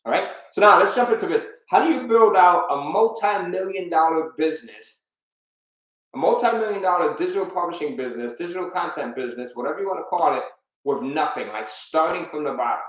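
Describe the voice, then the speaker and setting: A man's voice: on the high side, lively intonation, brisk. A man teaching a live online business webinar, speaking with energy and emphasis and asking his viewers frequent rhetorical questions. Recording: very echoey room.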